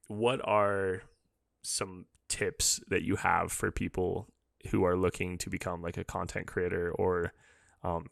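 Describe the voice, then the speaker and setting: Male podcast host, low with heavy vocal fry, dry close sound, loose halting conversational delivery. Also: no echo. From born in England, moved to the US as a child. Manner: informal, rambling, halting, says "dude" and "like" a lot. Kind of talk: casual podcast interview chat.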